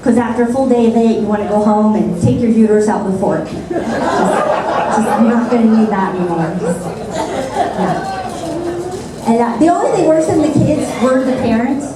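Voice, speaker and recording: A woman's voice: fairly high pitch, moderate pace, pauses often, a loud crowd, heavy background noise. Sarcastic woman comic in an echoey club. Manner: deadpan, sarcastic asides. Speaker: stand-up comedian. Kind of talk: stand-up comedy set. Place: comedy club stage. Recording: echoey club sound from a distant mic.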